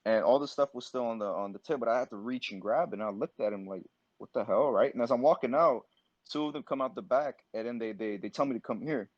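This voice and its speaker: A young man from Pakistan, a podcast guest, telling a story in an animated, streetwise way and calling people bro. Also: mid-range voice, fast talker, no echo. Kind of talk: casual storytelling. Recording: dull dry lo-fi sound.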